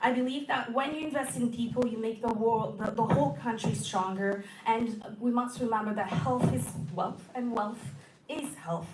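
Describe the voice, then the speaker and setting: A woman political candidate who is losing her voice, giving a halting debate answer with many self-corrections. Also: a little high, fairly even intonation. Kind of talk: campaign debate answer to an audience. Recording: echoey hall, distant mic, faint noise.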